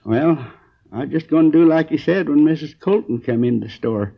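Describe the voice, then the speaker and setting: A gravelly old American man in his sixties, a hurt and drowsy storekeeper. He rambles in a halting, folksy, earnest way, on a dull 1950s crime drama recording. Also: a little high. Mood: groggy, hazy. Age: 60s. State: groggy, in pain.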